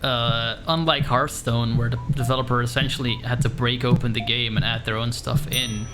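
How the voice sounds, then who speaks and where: A young Dutch-accented man talks loosely to his stream audience, laughing often and leaning on fillers. His mid-pitched voice bounces off a room that is too echoey. Loud game music runs underneath. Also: fairly even intonation, moderate pace. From Netherlands. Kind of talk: casual live-stream chat while gaming. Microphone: desk microphone.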